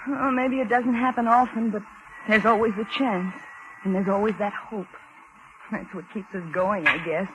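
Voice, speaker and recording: Through clear old-radio noise comes a weary young American car hop, played by an actress. She speaks in a mid-pitched voice, plain and wry, confiding in the listener with an anxious edge in 1940s suspense-drama fashion. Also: fairly even intonation, normal speed, a bit bright, natural voice, poor audio. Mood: confiding, uneasy. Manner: wry, confiding, working-class plain talk. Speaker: narrator and lead character. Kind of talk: 1940s radio suspense acting, first-person narration.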